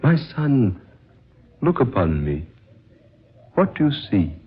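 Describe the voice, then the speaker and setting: An actor playing a wise older man in a 1940s radio drama, a calm spiritual guide who speaks in solemn, measured, cryptic questions. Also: mid-range voice, slightly rough, exaggerated voice. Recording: muffled, noisy vintage radio recording.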